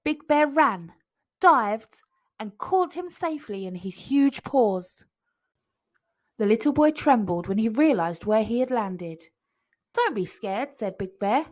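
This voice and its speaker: A woman storyteller reading a children's story aloud in an expressive way. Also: fairly high pitch, unhurried.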